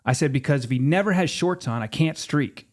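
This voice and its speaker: A man's voice: a little high, a little fast. A man in his fifties telling stories casually as an interview guest, acting out bits of past conversations as he goes. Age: 50s. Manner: animated retelling with quoted dialogue. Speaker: podcast guest, former sports mascot performer.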